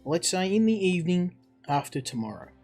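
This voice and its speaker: A courteous police colonel read out by a man speaks formally in a visual novel. His voice sits a little high, and his polite words carry some concern. Light music plays underneath. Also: very animated, a little fast, darkish tone, heavily acted. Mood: formal, cordial.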